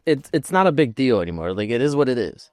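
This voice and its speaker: A man's voice: mid-range voice, big pitch swings, fast, no echo, studio quality. A man co-hosting a casual gaming podcast, talking in a rambling, opinionated way peppered with "like". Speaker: podcast co-host.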